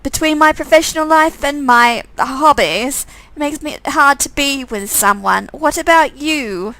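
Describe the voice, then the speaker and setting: A woman's voice: high-pitched, unhurried, fairly bright tone, no room sound, poor audio. A woman voicing chatty, curious young women from a visual novel in a theatrical, conversational read. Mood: playful.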